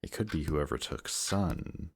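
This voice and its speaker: A man acting a possessive, anxious antihero villain in an emotional audio roleplay, halting and intense as he mutters. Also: rumbling low, animated, slight background noise, heavily acted. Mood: uneasy, brooding. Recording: music behind the voice.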